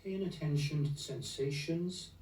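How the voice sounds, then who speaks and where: A man leading a guided meditation in a gentle, unhurried, soothing manner, leaving long pauses between phrases. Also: fairly high pitch, slight background noise. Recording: roomy echo, distant from the mic.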